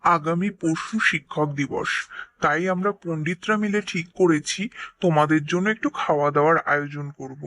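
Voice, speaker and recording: A man's voice: a little high, steady tone, moderate pace, a bit bright, close to an everyday voice. A man voicing a village schoolmaster in a cartoon, formal and instructing in manner. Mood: announcing. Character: brisk village schoolmaster, a pandit. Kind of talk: Bengali cartoon voice acting.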